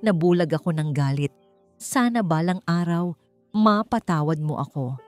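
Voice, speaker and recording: A woman's voice: fairly low pitch, lively intonation, normal speed, a little mellow, no room sound, lightly acted. A woman narrator plays a remorseful young man in a romance audio story. He speaks earnestly and with sorrow, pleading for forgiveness. Faint music sits underneath. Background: background music.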